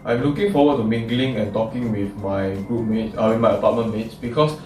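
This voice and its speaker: Low-voiced young man, distant and echoey. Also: fairly even intonation, brisk, a little mellow. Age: young adult. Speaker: student. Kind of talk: prepared reflection, semi-read. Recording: echoey, distant mic with music.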